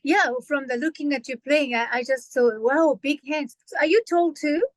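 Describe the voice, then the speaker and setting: A Japanese woman pianist interviewing a guest in English, eager and quick with short agreements. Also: high voice. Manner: enthusiastic, lots of short affirmations. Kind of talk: casual interview chat.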